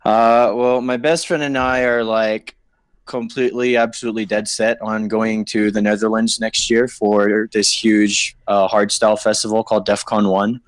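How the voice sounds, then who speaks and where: A man's voice: fairly low pitch, flat delivery, moderate pace, dry recording. A young man from Saipan calling in, easygoing and chatty, with lots of "like" fillers. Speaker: caller. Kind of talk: casual call-in chat.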